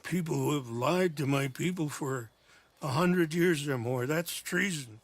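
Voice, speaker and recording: An actor playing a weary, dignified old chief and worried father in a video game drama, solemn and measured. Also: a little high, dry recording. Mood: pained indignation.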